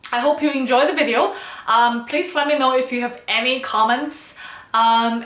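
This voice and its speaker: Woman from China, Chinese accent, fairly high voice, chatty vlogger asking "right?" a lot, recorded in an echoey room. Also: fairly bright tone. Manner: chatty, lots of "you know" and "right?" tags. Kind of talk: casual advice vlog.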